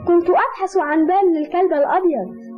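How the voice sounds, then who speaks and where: A woman's voice: extremely high pitch, quick pace. A woman voicing an earnest, innocent, tender-hearted little boy in an Arabic cartoon dub. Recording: muffled old cartoon audio, music behind.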